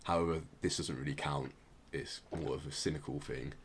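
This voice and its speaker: A man with a low-pitched voice hosts a casual podcast. He explains things conversationally, rambling a little and softening his points as he goes. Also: fairly even intonation, a little fast, gravelly, slight background noise. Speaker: podcast host.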